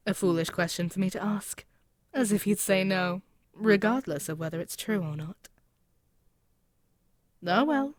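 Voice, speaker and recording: A woman voicing a proud, mocking demon queen in an intimate ASMR roleplay, speaking in a haughty, deliberate, musing way. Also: medium pitch, close to an everyday voice. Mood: suspicious, musing. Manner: haughty, deliberate, musing, with pauses and murmured asides. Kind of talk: scripted ASMR audio roleplay, spoken intimately to the listener.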